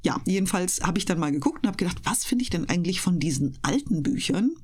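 Woman, husky, lowish pitch, casual interview guest, talkative, explanatory. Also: expressive, fast talker, darkish tone. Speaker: interview guest, voice performer and live reader.

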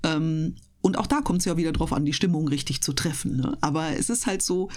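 A woman who works as a speaker, chatting casually and enthusiastically with trained, clear articulation. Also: low voice, a little fast, a little mellow. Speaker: host of an audio channel and interview guest, professional speaker. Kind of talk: casual interview chat.

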